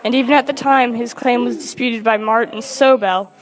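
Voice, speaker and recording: Higher-voiced woman reading a script. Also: fairly even intonation, moderate pace. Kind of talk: scripted narration, read aloud.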